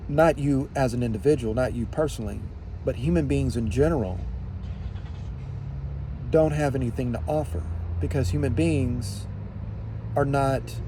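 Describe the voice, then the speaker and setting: A man giving an unscripted opinion monologue in deliberate, halting phrases, with emphatic repetition. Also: mid-range voice, warm tone, slight background noise.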